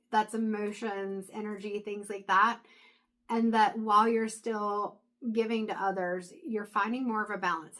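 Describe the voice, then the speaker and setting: A woman reads tarot cards aloud in a relaxed, chatty way, talking through her thoughts with fillers and restarts. Her voice sits at an ordinary middle pitch but is wearing thin and hoarse. She pauses now and then to clear her throat. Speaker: tarot reader. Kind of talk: casual intuitive tarot reading to camera.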